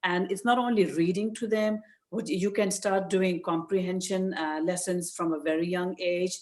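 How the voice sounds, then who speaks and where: An older woman from Nepal with a Nepali accent, giving informal, earnest advice as a guest speaker, with frequent hesitant pauses. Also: fairly low pitch, steady tone, darkish tone. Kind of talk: informal advice talk to parents. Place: virtual session over video call.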